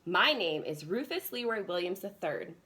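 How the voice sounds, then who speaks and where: A woman's voice: on the low side, sing-song, normal speed, sharp tone. A woman voicing an eager, persistent young boy pig in a children's storybook, earnest and insistent. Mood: earnest, hopeful. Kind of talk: children's storybook read-aloud.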